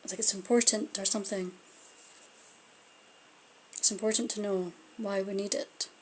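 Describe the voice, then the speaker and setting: A woman's voice: mid-range voice, fairly even intonation, moderate pace, slight background noise, rough recording. A Scottish woman doing a casual live-stream tarot reading, thinking aloud and trailing off as she turns the cards. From Scotland. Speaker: tarot card reader. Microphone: phone or webcam on a live stream.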